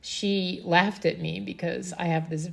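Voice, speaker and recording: A woman's voice: lowish voice, flat delivery, measured pace, creaky, a bit bright. A middle-aged woman with an East Coast American accent, hosting a casual conversation and reflecting aloud with frequent "you know" and "right?". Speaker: host.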